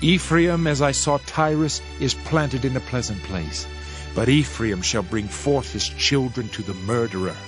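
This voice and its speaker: A man's voice: medium pitch, a little slow, lightly acted. A man playing a solemn Old Testament prophet in a dramatized Bible reading, declaiming judgment in a grave, formal manner. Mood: wrathful. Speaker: scripture narrator. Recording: music under the voice. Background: music.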